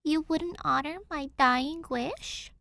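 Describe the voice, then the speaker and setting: A woman's voice: high-pitched, slow, creaky, sharp tone, no echo, heavily acted. A woman voicing a feisty, sarcastic runaway girl in a fan fiction audio drama, blunt and mock-dramatic. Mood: cheeky.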